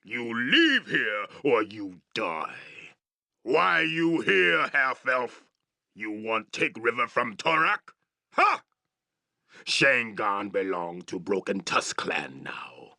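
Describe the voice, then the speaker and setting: An actor playing a brutish, boastful orc chieftain in a fantasy video game, growling threats in broken English. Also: male voice, medium pitch, big pitch swings, slow, sharp tone, no echo, studio quality, exaggerated voice. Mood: menacing. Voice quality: gravelly, growling.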